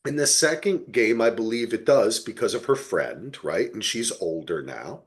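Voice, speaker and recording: A man's voice: on the low side, animated, normal speed. A man hosting a casual podcast, explaining things in an emphatic, unfiltered way. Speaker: podcast host. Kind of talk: casual chat.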